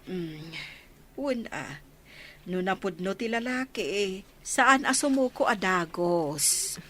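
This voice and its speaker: A woman acting a caring, patient village mother in an Ilocano radio drama, gently giving advice to her grown daughter. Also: medium pitch, lively intonation, slow pace, exaggerated voice. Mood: reassuring. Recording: soft music behind the voice.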